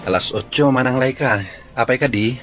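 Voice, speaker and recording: A man's voice: medium pitch, moderate pace, music in the background, natural voice. Earnest young Filipino man played by an actor. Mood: friendly. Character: Marlon, earnest young male suitor. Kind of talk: radio drama acting.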